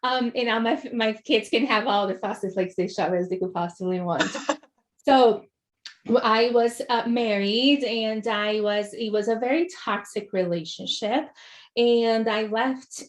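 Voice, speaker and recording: A woman's voice: medium pitch, a little slow. A woman from Brazil with a Brazilian accent, a business coach telling her personal story in an interview, earnest and reflective with many "right?" and "um" fillers. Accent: Brazilian Portuguese. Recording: roomy echo.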